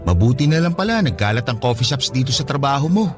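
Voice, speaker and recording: An actor plays an easygoing young man whose mid-pitched voice moves up and down animatedly. He is patient and gently teasing, in conversational radio drama style, over quiet music. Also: quick pace, lightly acted. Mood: casual. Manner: good-natured, animated, gently persuasive. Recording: studio dialogue over faint music.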